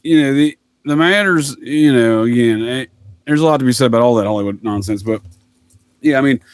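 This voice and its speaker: A man's voice: mid-range voice, brisk, clear, resonant tone, dry recording. A middle-aged man in casual, opinionated podcast conversation, speaking emphatically and rambling, with free swearing. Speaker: podcast guest, retired military master sergeant.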